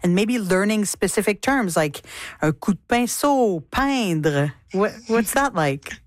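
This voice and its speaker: A woman's voice: medium pitch, lively intonation, slow, no echo, clean studio sound. A woman hosting a radio interview, asking curious, short follow-up questions.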